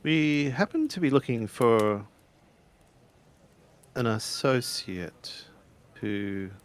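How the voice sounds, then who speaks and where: A courteous samurai-era investigator, voiced by a male player talks very slowly at a medium pitch. His phrasing is formal and hesitant, suited to careful tabletop roleplay. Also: lively intonation, smooth.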